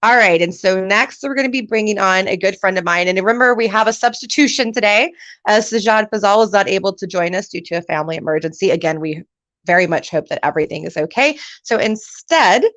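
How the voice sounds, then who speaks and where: A woman's voice: mid-range voice, brisk, a bit bright, dry recording. A woman hosting a live career event over a video call, upbeat and laughing often. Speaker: host.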